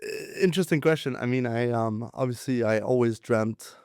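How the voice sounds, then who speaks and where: A man in his twenties from Denmark, raised in southern France, telling his career story in a relaxed interview, with lots of fillers and restarts. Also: mid-range voice, moderate pace, clear, resonant tone, no room sound, clean studio sound. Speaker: guest, venture capitalist.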